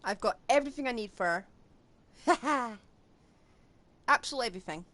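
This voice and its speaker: A woman's voice: a little high, lively intonation, brisk, fairly bright tone. A woman doing casual, rambling live commentary as she plays a video game. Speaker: game streamer. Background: game music.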